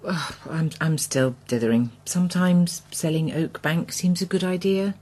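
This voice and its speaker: Woman acting a middle-aged farming daughter, low voice, husky, apologetic and wry, radio soap. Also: normal speed, mellow, natural voice. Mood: apologetic, torn.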